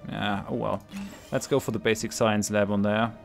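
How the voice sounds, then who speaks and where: Guy with a cold doing let's play commentary, lowish voice, lots of ums, faint game music behind. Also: steady tone, normal speed, gravelly. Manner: thinks aloud with frequent ums and restarts; laughs readily between remarks. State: has a cold. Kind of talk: casual gameplay commentary.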